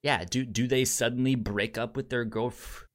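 A man with a medium-pitched voice talks through films as a podcast co-host. His delivery is halting, with stuttered first sounds and repeated words, in an informal conversational style. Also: steady tone. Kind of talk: casual film discussion.

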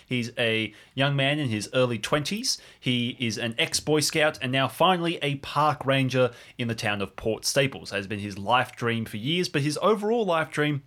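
Male podcast player, mid pitch, very animated intonation, informal banter. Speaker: cast member of improvised drama podcast. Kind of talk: casual chat.

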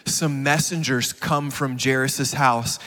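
Earnest, halting man speaking in a hall. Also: mid-range voice, steady tone, sharp tone. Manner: earnest, halting, repeats words while building up. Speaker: guest speaker. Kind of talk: heartfelt sermon. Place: large church hall. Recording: reverberant hall.

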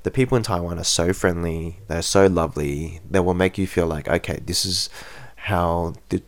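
Interview guest, man, 30s, very deep voice, outspoken and frank. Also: normal speed. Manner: frank, blunt opinions. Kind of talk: casual interview chat. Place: Japan.

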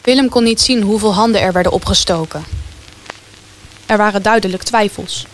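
A woman's voice: mid-range voice, moderate pace. A woman reading scripted narration for a radio play.

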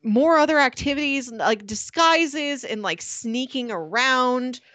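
A woman co-hosting a casual book discussion podcast, chatty and quick to laugh, often slipping into playful quoted lines. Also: high-pitched, lively intonation, fairly bright tone, no room sound. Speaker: podcast co-host.

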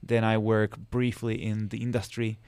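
A man talks through his work in a measured, explanatory way. His voice sits low with a gravelly edge and stays flat in tone. The sound is close and dry. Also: no room sound. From Czech Republic. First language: Czech. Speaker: guest, financial sector specialist. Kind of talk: podcast interview.

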